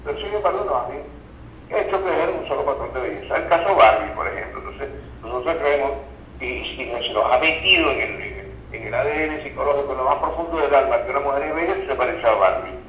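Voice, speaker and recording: A man explaining ideas as an academic interview guest, halting and repeating words as he searches for phrases. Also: mid-range voice. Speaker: interview guest, university professor and researcher. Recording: roomy, distant, dull sound with faint noise.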